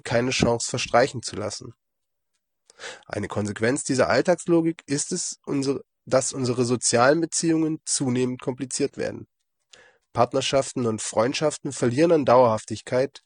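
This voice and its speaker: A man with a husky voice, hoarse from a cold, giving a calm, measured daily devotion as a lay preacher. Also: medium pitch, no room sound. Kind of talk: devotional reflection.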